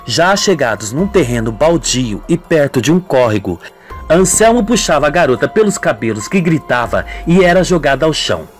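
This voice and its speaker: A man's voice: fairly high pitch, very animated, moderate pace. Man narrating melodrama over music. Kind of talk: scripted radio soap narration.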